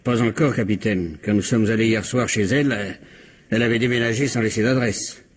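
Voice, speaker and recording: Husky, easygoing police deputy. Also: male voice, lowish voice, fairly even intonation, brisk. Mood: easygoing, amused. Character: easygoing male police subordinate reporting to his captain. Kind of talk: French radio crime drama acting.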